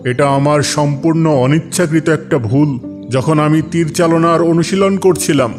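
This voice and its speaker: A man playing a dignified, humble, righteous caliph in an animated moral drama, speaking formally and earnestly. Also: on the high side, lightly acted. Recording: faint music behind the voice.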